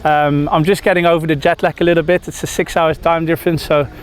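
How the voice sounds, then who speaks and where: A man's voice: fairly high pitch, little pitch movement, fast talker, slight background noise. A man in his thirties from the Netherlands, a cricketer giving a press interview outdoors, hesitant with many fillers and restarts.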